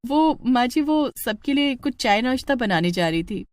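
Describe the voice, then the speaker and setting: Woman voicing a polite, lively young bride. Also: a little high, moderate pace, voice pushed up, natural voice. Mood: surprised, polite. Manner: polite, plaintive. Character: young new daughter-in-law, polite, bewildered, increasingly exasperated.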